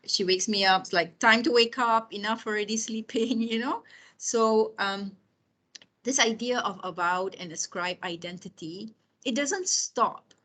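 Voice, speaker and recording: A woman's voice: on the high side, measured pace, fairly bright tone. A woman in her fifties giving an informal lecture with personal anecdotes, talking conversationally and often checking in with her listeners. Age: 50s.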